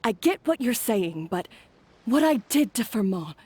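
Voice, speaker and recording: An actress playing a remorseful, self-doubting head chef in a video game, speaking earnestly and hesitantly. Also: female voice, a little high, very animated, a little slow, mellow, husky, no echo, exaggerated voice.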